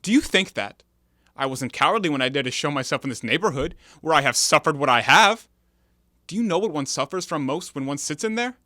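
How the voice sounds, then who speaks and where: Audio drama: male actor for a resentful, wheedling male ex-convict forger; sharp bright voice, theatrical delivery, very dry sound. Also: on the high side, lively intonation, dry recording. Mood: bitter, accusing. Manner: theatrical, insinuating, deliberate. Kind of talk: audio play acting.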